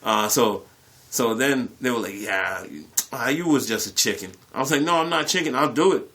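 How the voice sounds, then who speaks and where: A man with a mid-pitched voice tells a story in a casual, conversational way. He is animated and expressive, repeating short phrases and slipping into bits of acted-out dialogue as he addresses his online viewers. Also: normal speed. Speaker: YouTube storyteller. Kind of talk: casual personal storytelling to camera.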